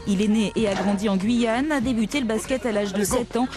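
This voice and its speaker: A woman's voice: on the high side, a little fast, music in the background. A woman reporter reading a scripted news voice-over in clipped, punchy phrases.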